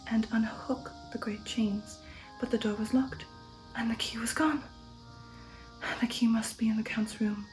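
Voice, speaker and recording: Woman, ASMR storyteller, soft breathy whisper, slightly high, mellow, slow hushed literary reading, close mic. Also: rough recording. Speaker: ASMR storyteller reading a gothic novel aloud. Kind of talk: ASMR audiobook narration.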